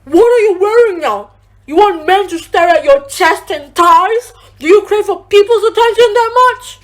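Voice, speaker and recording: A woman's voice: very high voice, lively intonation, moderate pace. A woman acting as a harsh, domineering father in a radio drama. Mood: enraged. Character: harsh, domineering father, abusive to his daughter.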